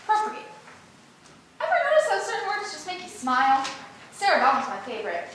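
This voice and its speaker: A woman's voice: very high-pitched, huge pitch swings, brisk, fairly bright tone, full character voice. A woman playing a vain, ditzy young actress with bubbly, emphatic chatter in a stage play rehearsal. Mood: emphatic, opinionated. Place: echoey indoor rehearsal room. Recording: very echoey room, distant mic, faint noise.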